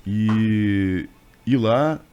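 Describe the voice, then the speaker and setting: A man from southern Brazil hosting a radio interview in a studio. His voice is low and mellow, and he keeps the talk going with interested questions and quick affirmations. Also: clean tone, highs cut off. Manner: interested, affirming with short replies. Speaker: radio host.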